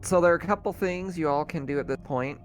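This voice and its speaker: A man's voice: a little high, quick pace, a little hiss or hum. A man running a tabletop roleplaying game as game master, narrating off the cuff in a casual, conversational way.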